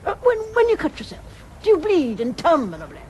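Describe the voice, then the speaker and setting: A woman playing an excitable, effusive, scatterbrained professor with gushing, flowery speech in a comic audio drama. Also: sits high, fairly quick, a little hiss or hum. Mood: eccentric, eager. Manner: gushing, erudite, flowery phrasing.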